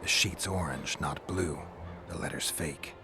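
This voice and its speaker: An actor playing a gruff, sardonic monster hunter in a video game, with a deadpan, gravelly delivery. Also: male voice, a bass voice, unhurried. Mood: muttering to himself. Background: music.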